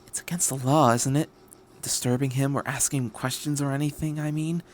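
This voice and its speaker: A man voicing a cautious, hesitant mother in a story reading, speaking in a hushed, careful manner. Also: mid-range voice, fairly even intonation, fairly quick, slight background noise, natural voice. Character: hesitant, cautious mother, rule-abiding commuter.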